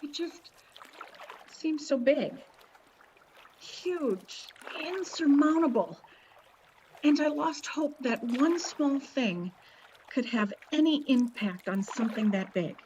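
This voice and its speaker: A woman playing a calm, haunted mother in a radio play, soothing, earnest and cryptic, stubborn in what she believes. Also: high-pitched, slow pace, long pauses, highs cut off, a little hiss or hum. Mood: earnest, downcast.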